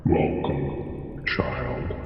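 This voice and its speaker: A man voicing an ancient, solemn underwater being who speaks telepathically, gravely and deliberately, with long pauses, through heavy reverb. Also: steady tone, far from the mic, exaggerated voice. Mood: solemn, welcoming. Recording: booming reverberant hall effect, muffled, rumbling noise.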